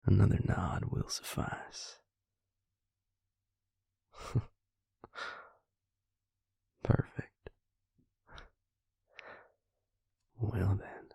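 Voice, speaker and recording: Audio roleplay role: devious vampire recruiter, played by a man. Slow, gravelly, breathy male voice, coolly menacing, close and dry. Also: deep voice, level tone, warm tone, no echo, exaggerated voice. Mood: coaxing, sinister. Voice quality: husky, breathy, gravelly half-whisper. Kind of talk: intimate whispered audio roleplay, addressed to the listener. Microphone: close, dry microphone.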